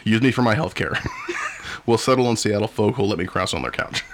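A man's voice: mid-range voice, very noisy. A man co-hosting a casual podcast, crude and joking, with a slight cough. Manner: crude, joking, bursts of loud laughter. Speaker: podcast co-host. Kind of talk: casual comedic chat.

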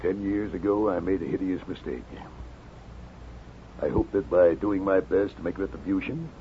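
A man's voice: low-pitched, moderate pace. An actor playing a repentant, fatherly frontier preacher with fire in him, in an earnest 1950s radio western style. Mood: remorseful, earnest. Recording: crackly, muffled 1950s radio recording.

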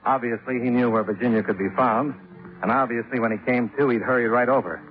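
An actor playing a wry, hard-boiled, unflappable private investigator in deadpan 1950s radio noir style. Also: male voice, mid-range voice, fast talker, natural voice. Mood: wry narrating. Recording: tinny old radio recording, music behind.

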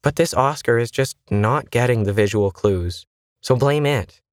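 A man's voice: mid-range voice, measured pace, no echo, studio quality, pitched above the performer's own voice, close to an everyday voice. A man voicing a stressed, weary woman who trains machines, curt and defensive, in an audio story. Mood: stressed, protesting.

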